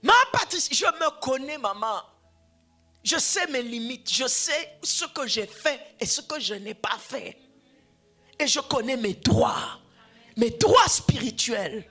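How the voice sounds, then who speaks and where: A woman whose first language is Swahili, preaching a sermon, dropping to hushed whispers and then rising to shouts, repeating phrases for emphasis. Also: sits high, animated, slow pace, husky, faint music behind. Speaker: preacher, addressed as apostle.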